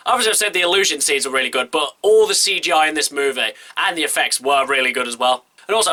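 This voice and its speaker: Fast-talking young man with a stuffy cold. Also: fairly high pitch, pushed and bright. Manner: chatty and enthusiastic, quick run-on sentences. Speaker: film reviewer. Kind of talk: casual movie review to camera.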